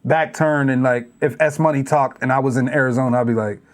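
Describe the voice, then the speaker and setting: A man's voice: medium pitch, fairly quick. A man from Pittsburgh with a Pittsburgh accent, chatting as a guest rapper on a podcast and mimicking how other cities talk. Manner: animated storyteller who mimics other cities' speech. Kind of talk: casual podcast conversation.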